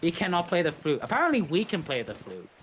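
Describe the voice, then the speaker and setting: A man's voice: fairly high pitch, wildly swooping pitch, quick pace, a bit bright, slight background noise, rough recording. A man giving live, explanatory speedrun commentary, rambling a little and rephrasing as he goes. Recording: game music behind the voice. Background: video game music.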